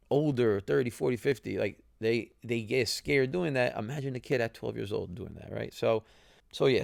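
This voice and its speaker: A man's voice: medium pitch, creaky, darkish tone, no echo, studio quality. A young man, a podcast host and former soccer player whose first language is Italian, telling stories casually with lots of fillers and "right?" tags. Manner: conversational, many fillers and tag questions. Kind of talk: casual storytelling.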